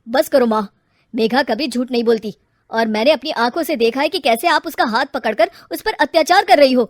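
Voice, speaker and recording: A woman voicing a firm young married son who scolds his parents, in a lowered voice. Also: animated, normal speed, dry recording, studio quality. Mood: stern.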